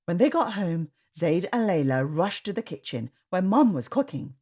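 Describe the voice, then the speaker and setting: A woman reading a storybook aloud to children in an expressive, sing-song way. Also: fairly low pitch, normal speed. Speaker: storytime reader. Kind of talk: children's read-aloud narration.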